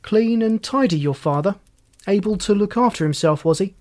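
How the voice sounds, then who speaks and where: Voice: mid-range voice, lively intonation, normal speed, a little mellow, pitched above the performer's own voice, natural voice. Narrator acting wry, commanding police inspector. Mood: probing, sardonic. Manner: folksy, teasing, pointed questioning.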